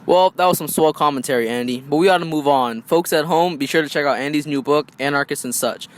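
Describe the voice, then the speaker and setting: Man playing a fast-talking 1920s radio host. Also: on the high side, lightly acted. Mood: upbeat. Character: brisk 1920s male radio host, folksy and businesslike. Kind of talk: 1920s radio talk show acting. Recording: noisy amateur recording.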